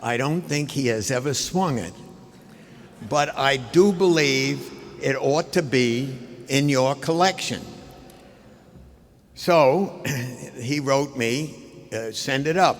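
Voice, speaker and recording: Older male speaker, unhurried and halting, mid-pitched, telling anecdotes in tribute from a reverberant hall. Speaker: speaker giving a tribute at an award ceremony. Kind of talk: anecdotal tribute speech to an audience. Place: large indoor ceremonial hall.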